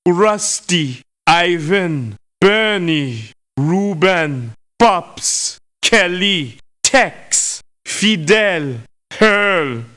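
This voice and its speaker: An actor playing a curt mercenary in a video game, speaking in clipped short phrases. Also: male voice, high-pitched, sharp tone, heavily acted. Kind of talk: video game character voice lines.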